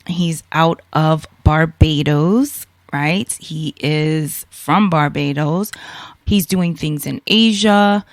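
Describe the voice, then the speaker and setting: A woman from the United States hosting a casual podcast interview, excitable and gushing, with many fillers. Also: sits low, slow, dry recording. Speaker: podcast host.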